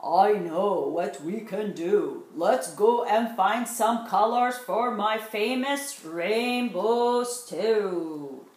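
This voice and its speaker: Woman as a kindly grandpa, children's storybook, gentle drawn-out delivery, roomy recording. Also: medium pitch, pitched below the performer's own voice. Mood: gently encouraging. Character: kindly grandfather inviting grandchildren to gather vegetables.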